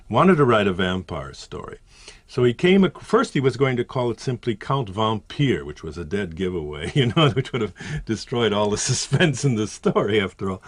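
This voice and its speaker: A man's voice: fairly low pitch, no echo, clean studio sound. A man, a historian and author, explaining in a conversational, self-correcting way. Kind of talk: expert interview commentary.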